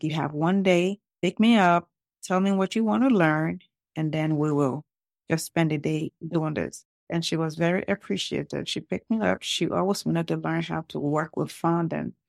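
A woman's voice: deep voice, vocal fry, darkish tone, dry recording, clean studio sound. A middle-aged woman from Liberia, telling stories conversationally as a podcast guest. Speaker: podcast guest, home cake business owner. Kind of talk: conversational interview storytelling.

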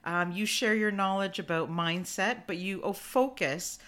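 A woman's voice: mid-range voice, unhurried, a bit bright. A woman hosting her own podcast, opening with an emphatic, inspirational intro and then interviewing a guest. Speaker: podcast host.

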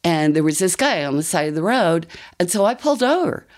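A woman's voice: fairly low pitch, sing-song, fairly quick, darkish tone. An older woman with an American accent, telling a personal story in a casual, conversational way with rambling asides. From United States.

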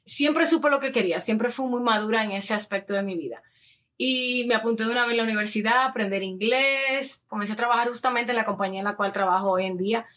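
A woman's voice: a little high, fast, fairly bright tone. A woman in her early thirties with a Dominican Spanish accent, talking casually and at length as an interview guest, mixing in English words. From Dominican Republic. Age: early 30s. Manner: talkative, anecdotal, slips in English words. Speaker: interview guest, influencer and addiction counselor. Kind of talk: casual conversational interview answers.

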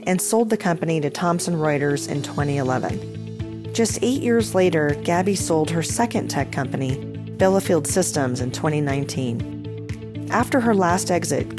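Low-voiced woman hosting a podcast. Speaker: podcast host. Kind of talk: podcast interview.

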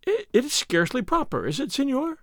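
A man's voice: high voice, huge pitch swings, normal speed, dry recording, studio quality, voice pushed up, exaggerated voice. A man voicing a proper young señorita in an audiobook, prim and proud, protesting with alarm and indignation. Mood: timid, uneasy.

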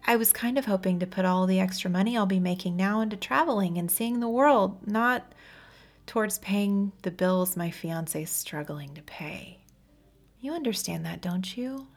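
A woman voicing a guilty, hesitant young woman in a story, halting and pleading as she speaks to her fiancé. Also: medium pitch, lightly acted. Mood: earnest, pleading. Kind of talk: dramatic audiobook character voice.

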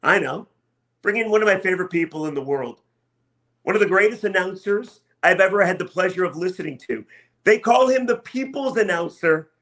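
High-pitched male sports podcast host. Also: lively intonation, brisk, a bit bright. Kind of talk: upbeat sports talk and interviewing.

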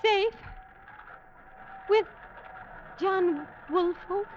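High-voiced, fragile, haunted young woman. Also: drawn-out words, slight background noise, full character voice. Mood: bewildered. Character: fragile, traumatised young daughter, haunted and dazed. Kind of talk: 1940s radio acting. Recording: dull, dry old radio recording.

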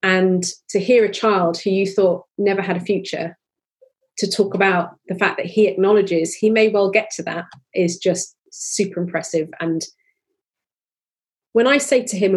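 A woman's voice: mid-range voice, a little mellow, breathy edge. A woman in her forties, a podcast guest, talking earnestly and openly with many restarts. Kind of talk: candid conversational interview.

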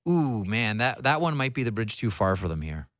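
A man's voice: on the low side, big pitch swings, a little fast, fairly bright tone, no echo, studio quality. A man hosting a sports talk show, chatting and asking questions in a conversational, opinionated way. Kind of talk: casual hockey talk and interviewing.

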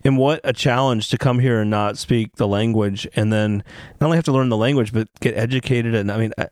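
A man's voice: medium pitch, a little fast, a little mellow, dry recording. A man hosting a podcast interview, speaking enthusiastically with lots of fillers and restarts. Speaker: podcast host.

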